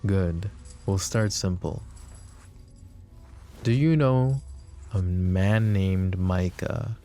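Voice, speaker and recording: A man voicing a possessive, menacing mafia boss in a roleplay audio drama, talking slow and taunting, with sweet pet names. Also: low voice, slight background noise, heavily acted. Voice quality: soft, smooth and taunting. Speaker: voice actor.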